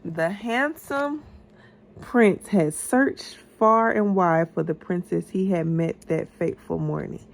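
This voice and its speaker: A woman's voice: on the low side, slow, creaky. A mother reading a children's story aloud, with casual asides to her child.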